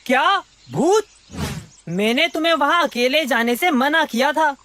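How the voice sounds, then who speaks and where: A woman's voice: high voice, animated, measured pace, a bit bright. A woman voicing a clever, friendly monkey in a cartoon animal story. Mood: concerned.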